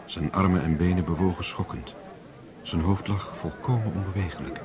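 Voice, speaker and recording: A man's voice: low-pitched, normal speed, a loud crowd. A man narrating a radio drama in scripted literary storytelling.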